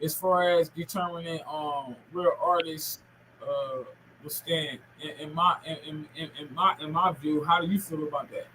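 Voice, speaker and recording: A man's voice: a little high, steady tone, normal speed, sharp tone, a little hiss or hum, poor audio. A man in his forties hosting a podcast in casual, rambling, slangy and profane talk. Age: 40s. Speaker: podcast host. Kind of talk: casual interview chat.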